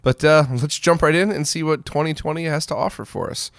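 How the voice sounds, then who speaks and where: A man's voice: fairly high pitch, fairly quick, creaky, no room sound, studio quality. A man hosting a retro gaming news roundup in an informal, conversational and opinionated way. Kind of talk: casual news roundup commentary.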